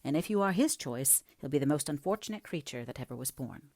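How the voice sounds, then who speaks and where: A woman's voice: sits low, animated, quick pace, a little mellow, dry recording, clean studio sound. Woman as a stern, disapproving servant woman. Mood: sceptical, reproving. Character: stern, disapproving servant woman scolding her young mistress. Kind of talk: dramatic audiobook character reading.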